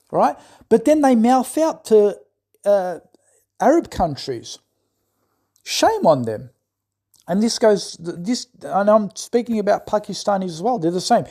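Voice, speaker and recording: A man's voice: high voice, big pitch swings, measured pace, warm tone. A man in an impassioned, scolding monologue who keeps asking "right?". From Australia. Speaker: commentator.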